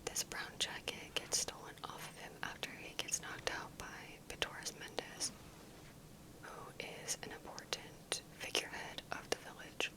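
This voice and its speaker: A woman giving ASMR commentary in a soft, breathy, unvoiced whisper, with careful, measured delivery. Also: low-quality recording. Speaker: ASMR gaming video creator.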